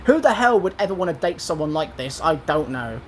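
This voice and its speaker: A young man in his twenties with an English accent talks with a high, squeaky voice. He sounds aggrieved and rambles as he retells an online argument. A faint hiss runs underneath. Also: very animated, fairly quick. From England. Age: 20s. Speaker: gaming vlogger. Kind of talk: casual commentary.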